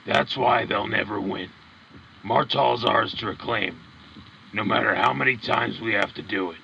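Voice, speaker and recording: A man playing a rousing, patriotic wartime radio commentator in a scripted broadcast, with a gravelly voice and emphatic delivery. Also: on the low side, normal speed, sharp tone, lo-fi sound, full character voice. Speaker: radio host. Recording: clear vehicle and machinery noise behind.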